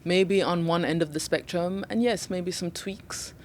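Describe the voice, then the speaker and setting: A woman hosting a conversational interview, asking relaxed questions and affirming her guest often. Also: fairly low pitch, unhurried, slight background noise. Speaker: interview host.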